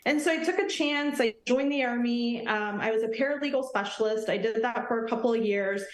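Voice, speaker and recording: A woman's voice: a little high, a little fast, a little hiss or hum. Upbeat woman, echoey remote audio. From Nepal. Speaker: Army officer guest. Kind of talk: morning TV interview.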